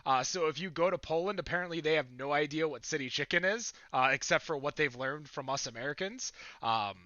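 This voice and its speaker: An upbeat man hosts a casual podcast over a video call, rambling with plenty of fillers. His voice is bright and a bit high. The sound is dry and muffled. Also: a little fast, no room sound. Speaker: podcast host.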